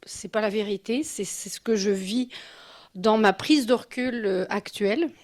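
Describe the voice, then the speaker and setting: A woman's voice: mid-range voice, unhurried. A mature woman from Quebec gives an informal conference talk as a guest speaker, in a personal and reflective way. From Quebec City, Canada. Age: 50s or older. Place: live talk before an audience.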